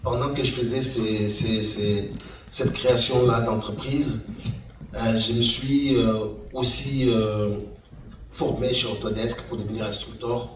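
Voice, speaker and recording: A middle-aged man gives an informal talk as an entrepreneur, in a halting way, repeating words for emphasis. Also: medium pitch, fairly even intonation, fairly bright tone. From Democratic Republic of the Congo, Kananga. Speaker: guest speaker, engineer and entrepreneur. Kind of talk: informal public talk recounting a career path. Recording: echoey room, distant mic, faint noise.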